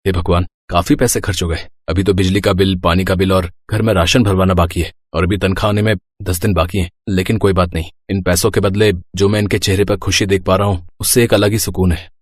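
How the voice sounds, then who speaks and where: Male actor as caring elder brother in a family story, young, joking, expressive, recorded dry. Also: low-pitched, brisk, hardly any pauses, no room sound. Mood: tender, reflective. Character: caring, teasing elder brother of five sisters, working young man. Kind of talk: dramatized Hindi family story acting.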